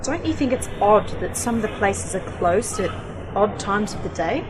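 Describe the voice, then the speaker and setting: A woman's voice: animated, moderate pace, close to an everyday voice. A woman playing an inquisitive, practical niece and companion in an amateur sci-fi radio play, matter-of-fact and questioning. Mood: curious. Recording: noisy home-made radio play, vehicle-like rumble.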